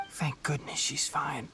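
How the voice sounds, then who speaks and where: A man voicing a wounded but composed, stubborn young healer in a video game, weary and breathy, with a dry, measured manner. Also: fairly high pitch, background noise. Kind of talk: scripted video game acting.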